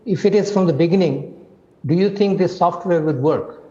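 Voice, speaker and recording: A man's voice: sits high, moderate pace, warm tone. A man putting a question to a presenter in a hesitant way. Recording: dull lo-fi sound.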